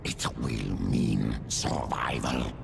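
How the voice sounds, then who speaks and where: An actor playing a huge, ancient alien beast in a video game, speaking in terse, cryptic pronouncements. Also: male voice, rumbling low, drawn-out words, creaky, very noisy, full character voice. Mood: grave, cryptic.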